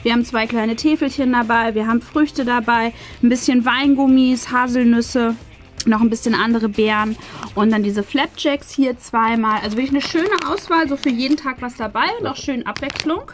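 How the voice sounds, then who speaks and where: High-voiced woman, casual unboxing chat. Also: normal speed. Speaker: host of an unboxing video. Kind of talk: casual chatty product review. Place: small decorated indoor room. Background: faint music.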